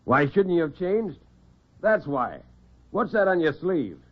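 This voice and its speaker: Male actor as accusing cop, raised pitch, bullying and exasperated, old radio drama, narrow-band vintage sound. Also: sing-song, a little slow. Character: blustering, accusing policeman, gruff and impatient. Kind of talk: classic radio drama acting.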